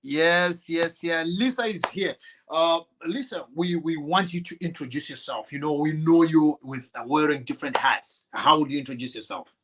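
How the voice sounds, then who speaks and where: A man's voice: fairly high pitch, normal speed, background noise. A man hosting a live online talk show over a video call, greeting viewers and his guest in a friendly, easygoing way. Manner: friendly, many fillers and restarts, laughs. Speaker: show host.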